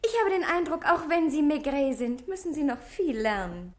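A woman's voice: high-pitched, animated, normal speed, over music. An actress playing a cool, world-weary, provocative woman of twenty-one, aloof and flippant, in a German radio play. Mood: teasing.

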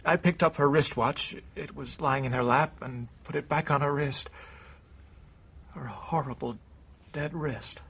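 An actor playing an anguished, browbeaten and bitter stepson, speaking emotionally and haltingly in a radio drama. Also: male voice, a little high, fairly bright tone, breathy edge, exaggerated voice. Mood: numb, haunted.

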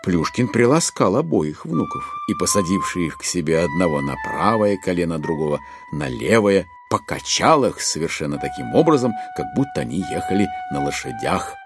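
Male narrator, low-pitched voice, very animated intonation, dramatic literary reading, theatrical storytelling, studio recording with instrumental music. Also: normal speed, hardly any pauses.

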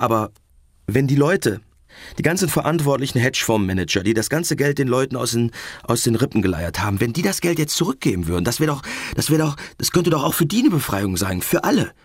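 A man playing a naive, romantic banker in a radio play, speaking earnestly in a natural, slightly rambling way. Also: mid-range voice. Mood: musing, naive. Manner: rambling, repeats himself, earnest. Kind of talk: naturalistic radio drama acting.

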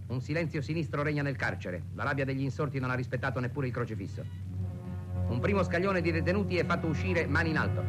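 Guy doing old newsreel announcer, grandiose n urgent, super fast, highish voice, music blaring behind. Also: natural voice. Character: urgent, grandiose newsreel narrator reporting a prison revolt. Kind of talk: old Italian newsreel narration.

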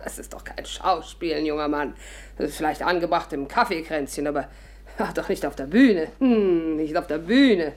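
An actress playing an imperious, curious old stage diva with a declamatory, condescending manner in a 1950s radio play. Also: female voice, deep voice, normal speed, a little mellow, close to an everyday voice. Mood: haughty, probing. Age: older.

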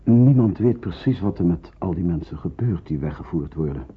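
A kindly pastor in a radio drama, his voice low and very husky. He speaks gravely and soothingly, like a father. The old recording sounds thin and phone-like. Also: animated, exaggerated voice. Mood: grave, sympathetic. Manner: measured, solemn, reassuring. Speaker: actor in a radio play. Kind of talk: Dutch radio drama acting.

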